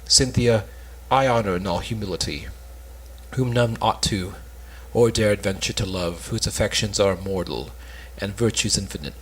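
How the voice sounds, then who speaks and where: A man reading as a husky, lovesick young courtier. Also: on the low side, normal speed, rough recording. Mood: reverent. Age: young adult. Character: lovesick young male courtier, devoted, lofty. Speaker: student reader in an amateur play reading. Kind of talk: cold read of Elizabethan stage comedy.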